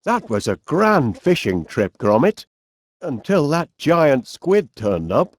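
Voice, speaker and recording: Eccentric cartoon game inventor, Northern English accent, very animated intonation, slow pace, cheerful, chummy, genteel. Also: male voice, on the high side, breathy edge, dry recording, studio quality, full character voice. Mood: chatty musing. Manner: chummy, genteel old-fashioned phrasing, musing aloud. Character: cheerful eccentric middle-aged English inventor, fond of his dog. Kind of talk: animated video game character voice lines.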